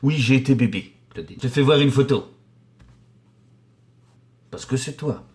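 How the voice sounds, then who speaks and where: Man, forties, from the Paris region, painter and decorator, casual chat, crude jokes, rambling with fillers, medium pitch. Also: normal speed. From Paris region, France. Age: 40s. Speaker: painter and decorator answering viewer questions.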